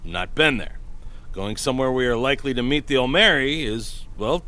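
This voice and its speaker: A man's voice: mid-range voice, animated, moderate pace, sharp tone. A man playing a frank, concerned and dutiful starship captain in a science fiction drama, blunt in his talk. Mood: uneasy. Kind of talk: acted dialogue.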